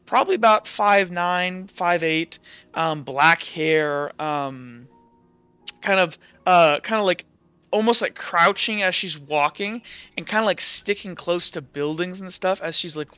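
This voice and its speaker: A man acting as game master, improvising narration and rulings in a halting way over an online call. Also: a little high, sharp tone, clear, resonant tone, dry recording, lo-fi sound. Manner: halting, feeling out descriptions. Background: faint music.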